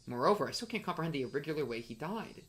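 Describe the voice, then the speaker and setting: A man's voice: fairly high pitch, lively intonation, rapid-fire, a bit bright, a little hiss or hum. A young man giving casual, slangy playthrough commentary on a visual novel and reading text aloud. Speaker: streamer playing a visual novel.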